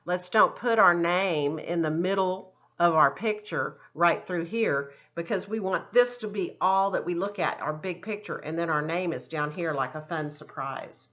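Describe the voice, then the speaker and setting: Lower-pitched woman teaching a children's craft. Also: a little slow, hardly any pauses, highs cut off. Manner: encouraging, chatty, talks through each step. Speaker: art teacher giving a demonstration. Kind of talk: casual step-by-step craft lesson for children.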